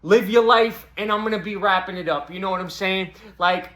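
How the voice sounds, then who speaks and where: A young man with a Boston accent delivers a loose, profane stand-up bit, pitched noticeably high for a man. He talks like a streetwise buddy, circling back to his catchphrases. The sound bounces around an echoey room. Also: fairly quick, sharp tone. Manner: profane, streetwise, repeats "you know what I'm saying". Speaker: comedy channel host. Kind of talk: casual stand-up monologue to camera.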